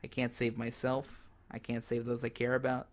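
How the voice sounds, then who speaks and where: Despairing male survivor broadcasting on ham radio , played by a man, mid-pitched, halting and dramatic, for a zombie radio drama. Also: monotone, lightly acted. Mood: despairing, agitated. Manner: halting, dramatic, broken into short phrases.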